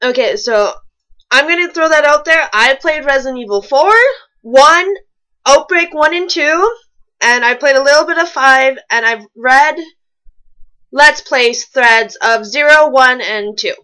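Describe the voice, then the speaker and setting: A woman's voice: high voice, a little slow, pushed and bright, poor audio. A woman co-hosting a comedic fan fiction reading, reading aloud and breaking off for casual, mock-exasperated commentary.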